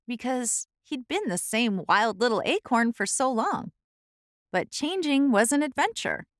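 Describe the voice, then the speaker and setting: A woman reading a children's storybook aloud as the host, in an expressive, deliberate storytelling style. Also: a little high, no echo, studio quality.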